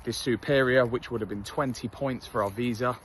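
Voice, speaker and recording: A man's voice: medium pitch, steady tone, moderate pace, slight background noise. A man in his thirties from England with an English accent, chatting candidly outdoors while out for a run, self-deprecating and full of fillers. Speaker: vlogger. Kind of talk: casual talk to camera while jogging. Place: outdoors on a street.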